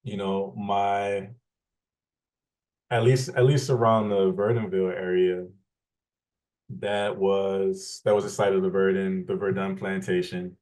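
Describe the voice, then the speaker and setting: A man from Louisiana, an interview guest, telling his family history in a measured, explanatory way with frequent restarts. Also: low voice, little pitch movement, a little mellow, low-quality recording. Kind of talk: thoughtful conversational storytelling.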